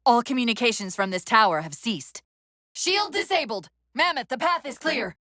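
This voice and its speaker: A woman playing a female military AI companion in a video game, giving crisp tactical commands with dry, sardonic wit. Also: a little high, lively intonation, moderate pace, bright, forceful tone, no echo. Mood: focused, brisk.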